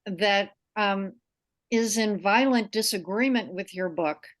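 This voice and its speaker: A woman's voice: medium pitch, slow pace. A middle-aged woman hosting an interview, speaking earnestly and reflectively about her own experience. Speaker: interview host. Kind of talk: conversational interview.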